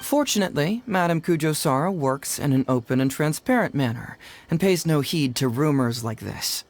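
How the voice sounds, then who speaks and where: An actor playing a confident, clever young male detective in a video game, smooth and glib, explaining things with wry ease. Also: on the high side, lively intonation, moderate pace, slight background noise. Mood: wry, assured. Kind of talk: English video game voice acting. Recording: studio voice under game music. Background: game background music.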